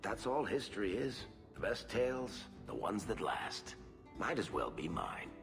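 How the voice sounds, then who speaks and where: A man's voice: on the low side, big pitch swings, measured pace, vocal fry, heavily acted. A male actor playing a roguish, cocky dwarf storyteller in a video game, with a wry, theatrical way of talking. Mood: wry, musing. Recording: reverberant voice with loud music behind.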